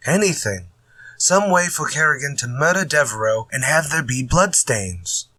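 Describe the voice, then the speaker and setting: An actor playing an exasperated, fretful mystery writer in a retro radio drama. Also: male voice, fairly high pitch, lively intonation, normal speed, pushed and bright, dry recording. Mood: frustrated. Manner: fretful, muttering to himself when stuck. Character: exasperated male mystery writer stuck on his story.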